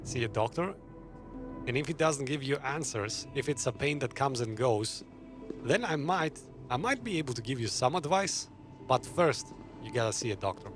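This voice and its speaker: A man's voice: mid-range voice, animated, moderate pace. Man commentating casually over game music. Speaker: game streamer.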